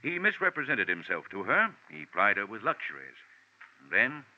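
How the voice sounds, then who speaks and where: Fussy, low-voiced, swooping insurance boss. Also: male voice, huge pitch swings, moderate pace, a little hiss or hum. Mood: earnest. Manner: blustery, stuffy, repeats himself. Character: fussy, pompous older insurance general manager. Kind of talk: 1940s radio drama acting. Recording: muffled 1940s radio recording.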